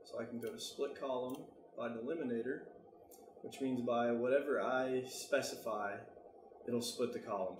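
Casual American man in a roomy, noisy recording. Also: medium pitch. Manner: informal, thinking aloud while working. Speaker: tutorial presenter. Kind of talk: casual software tutorial narration.

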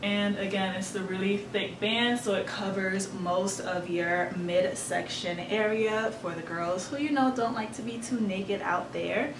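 A woman's voice: mid-range voice, measured pace, few pauses. Chatty young woman in an echoey room. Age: young adult. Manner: chatty, upbeat, fillers like "like" and "um". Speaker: fashion vlogger. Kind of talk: casual clothing haul review. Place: bright room with clothing rack, indoors. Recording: roomy, slightly distant, faint room noise.

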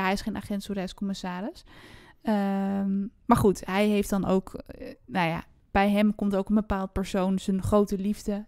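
A woman's voice: medium pitch, warm tone, dry recording, clean studio sound. A woman in a casual, enthusiastic book discussion, speaking as a podcast host.

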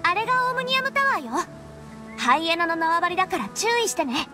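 An actress playing a friendly, caring young girl guide in an anime game, speaking casually and giving gentle instructions. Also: very high voice, big pitch swings, fairly quick. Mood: caring, helpful. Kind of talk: Japanese anime game dub acting. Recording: game music behind.

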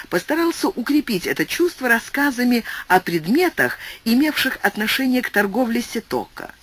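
A man's voice: high voice, a bit bright, slightly rough. A man narrating a classic literary audiobook, telling the story expressively. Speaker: audiobook reader.

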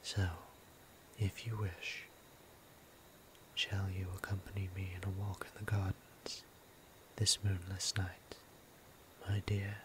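A man playing a gentle, courteous vampire priest in an intimate ASMR roleplay, speaking formally and tenderly in a hushed whisper with deliberate pauses. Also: sits low, completely flat delivery. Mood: courteous, inviting. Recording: clear ambient background noise.

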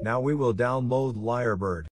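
Husky man narrating a tutorial, dry sound. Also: mid-range voice, moderate pace, dry recording. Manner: plain step-by-step instructing. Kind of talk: scripted software tutorial narration.

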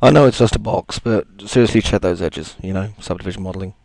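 A man with a gravelly, deep voice, chatting his way through a software tutorial with plenty of ums and asides. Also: fast talker, dry recording, low-quality recording. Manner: chatty, self-deprecating, thinks aloud with ums. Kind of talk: screen-recorded software tutorial.

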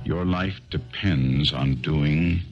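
An actor playing a shrewd, self-assured detective in a 1970s radio drama, speaking with precise, deliberate authority. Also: male voice, very deep voice, over music, heavily acted. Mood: commanding, instructing. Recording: muffled, noisy old radio broadcast.

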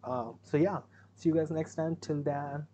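A man's voice: fairly high pitch, steady tone, normal speed, warm tone, a little hiss or hum. A young man with an Indian accent, giving rambling, casual commentary as he reacts to a music video in a small room at his webcam. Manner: rambling, self-correcting, chatty.

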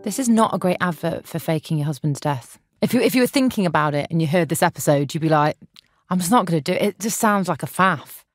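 A woman's voice: lowish voice, animated, fast talker, no echo, clean studio sound, faint music behind. A woman co-hosting a podcast, teasing and laughing as she comments on the story. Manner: playful, laughs often, teasing. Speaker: podcast co-host. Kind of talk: casual chat, joking commentary.

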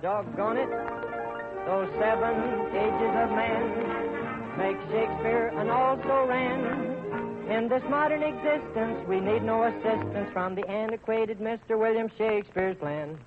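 A man's voice: high voice, measured pace. A man performing a comic novelty song on an old 1930s radio broadcast. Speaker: singer. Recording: tinny 1930s radio broadcast, loud music.

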